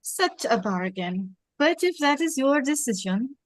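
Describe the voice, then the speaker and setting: A woman playing a furtive, obsequious man peddling a ring, hushed and deferential, in an amateur script read-through. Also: sits high, very animated, unhurried.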